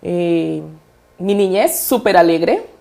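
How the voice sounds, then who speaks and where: A Colombian woman from Cali talks as a podcast guest in a fairly low voice. She speaks with fondness and pauses often, her words broken by hesitations. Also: lively intonation, gravelly, mellow. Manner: fond, reflective, hesitant with many fillers. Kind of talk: interview, personal storytelling.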